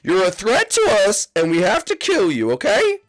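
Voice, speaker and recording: A shrill, unhinged young Koopa prince, swinging up and down wildly in a high, piercing voice. He shrieks his tantrums, then whines and sobs like a spoiled boy, all in a hobby cartoon skit. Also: wildly swooping pitch, normal speed. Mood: eager. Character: manic unstable young male Koopa prince.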